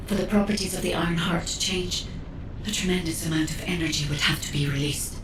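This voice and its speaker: Woman doing thoughtful otherworldly spirit guide lady, formal n earnest, echoey and a bit far off, rumble behind. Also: fairly even intonation, fast talker, close to an everyday voice. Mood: pained, grave. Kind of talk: video game cutscene acting. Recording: roomy reverb, distant, background rumble.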